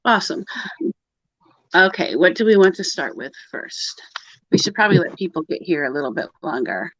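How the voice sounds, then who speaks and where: A woman's voice: on the low side, expressive, moderate pace, a bit bright, no room sound. A woman co-hosting a pagan livestream, chatting casually and laughing often as she rambles through the topic. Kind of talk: casual group chat on a live video call. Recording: dull dry video-call audio.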